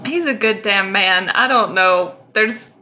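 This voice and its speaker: A woman's voice: mid-range voice, unhurried, pushed and bright, highs cut off, a little hiss or hum. A woman co-hosting a casual comedic podcast with teasing, emphatic banter. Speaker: podcast co-host.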